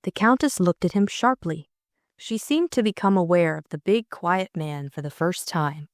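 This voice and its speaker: Woman, lowish pitch, scripted story narration, dry close studio-like sound. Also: normal speed, no room sound. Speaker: audiobook narrator.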